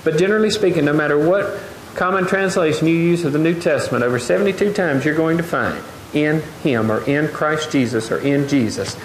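A middle-aged man preaching a lively sermon, often asking the congregation questions. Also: on the high side, fairly quick. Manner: animated, gestures widely, asks the congregation questions. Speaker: pastor. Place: large wooden open-air church hall. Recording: echoey hall, faint noise.

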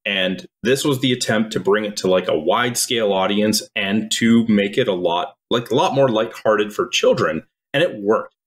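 A man from Croatia co-hosting a podcast, explaining things in a measured, storytelling way. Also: lowish voice. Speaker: podcast co-host. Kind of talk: casual podcast chat.

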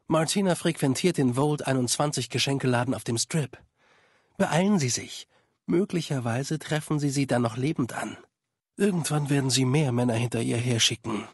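Video game spymaster: cold, measured, superior, mid-pitched with a husky edge, dry close sound. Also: male voice, dry recording. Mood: businesslike. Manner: formal, measured, condescending. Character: cold, calculating male spymaster of a slaver legion. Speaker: game character voice actor. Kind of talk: dubbed video game dialogue.

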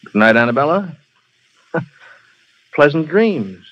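An actor playing a calm, wry gentleman drawn into a spy plot in a 1930s radio drama. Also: on the high side, takes it slowly, heavy background noise. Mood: wry, soothing. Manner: easygoing and wry. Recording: dull old radio broadcast.

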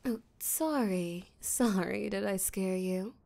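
Slow, creaky, seductive vampire voiced by a woman. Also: mid-range voice, warm tone. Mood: playful menace. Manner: breathy, teasing, flirtatious, slow and deliberate. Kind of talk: intimate roleplay audio drama, spoken to the listener.

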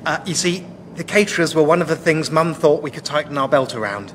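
An actor playing a cheerful, naive young English airline steward in a radio sitcom, guileless and enthusiastic. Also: male voice, on the high side, animated, fairly quick, a bit bright, slight background noise. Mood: earnest.

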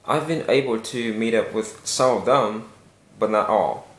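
Slow, earnest young man, echoey room. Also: lowish voice. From Vietnam. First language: Vietnamese. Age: young adult. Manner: slow, careful and earnest, with some hesitations. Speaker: vlogger. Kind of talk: casual vlog to camera. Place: small indoor room with a bare wall.

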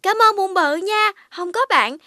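A woman's voice: very high-pitched, expressive, moderate pace, over music. A woman voicing a polite, peacemaking young rabbit in a children's cartoon. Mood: grateful.

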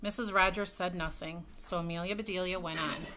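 A woman's voice: lowish voice, fairly even intonation, a little fast, pushed and bright, rough recording. A middle-aged woman reading a children's storybook aloud at home, with casual asides to her listeners. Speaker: story time reader. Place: room at home by a window. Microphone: webcam at desk distance. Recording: webcam sound with faint noise, clicks.

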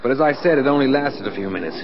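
An actor playing a dutiful, earnest air force control officer in a mid-century radio drama. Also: male voice, mid-range voice, lively intonation, very fast, natural voice. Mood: sober reporting. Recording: muffled, hissy vintage radio recording.

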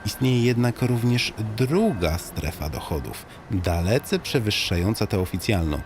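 Male comic audiobook narrator, lowish register, highly varied intonation, mock-solemn guide addressing the listener. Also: unhurried, music in the background. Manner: tongue-in-cheek mock-solemn storytelling with animated swings.

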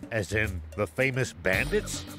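A man playing a stern, level-headed old man in a video game, measured and gruff. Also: on the low side, lively intonation. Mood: puzzled. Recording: game music under the voice.

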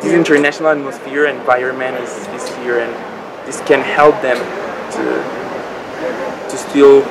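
A young man from Andorra, a master's student, answering in a measured way and searching for words outdoors. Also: mid-range voice, fairly even intonation. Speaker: student buddy. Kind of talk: casual interview answers. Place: outdoors by a bridge. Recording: loud outdoor noise.

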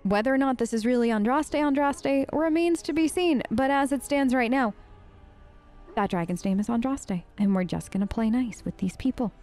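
A woman's voice: a little high, normal speed, darkish tone, faint music behind. A woman hosting a let's play with casual, wry, hesitant role-play commentary, talking in character.

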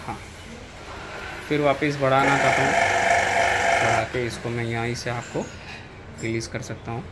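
A man's voice: medium pitch, steady tone, normal speed. A man with an Indian accent explaining step by step how to operate a machine while he works it, recorded on a handheld phone in a workshop. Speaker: machine seller demonstrating equipment. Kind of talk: hands-on product demonstration. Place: indoor workshop floor. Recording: loud machine and handling noise.

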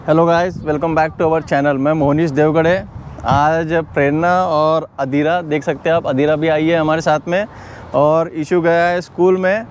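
Here's an Indian man in his thirties, chatting to the camera from a big store aisle. His voice sits a little high for a man, and his talk is earnest as he walks through each step. A small clip-on mic picks it up close. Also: steady tone, unhurried, dull audio, slight background noise. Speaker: vlogger. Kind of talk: casual vlog talk mixing Hindi and English.

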